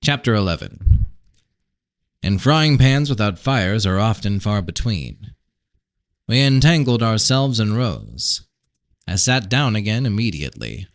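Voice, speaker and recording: A man reading a fantasy novel as scripted narration, with dramatic, measured delivery. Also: lowish voice, no room sound. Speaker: audiobook reader.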